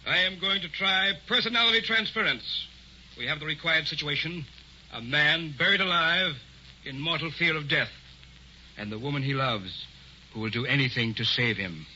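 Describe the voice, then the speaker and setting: An actor playing a coldly menacing, commanding mad doctor in an old-time radio drama. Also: male voice, fairly high pitch, pushed and bright. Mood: commanding, intent. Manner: commanding, deliberate villain. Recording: dull, hissy old radio recording.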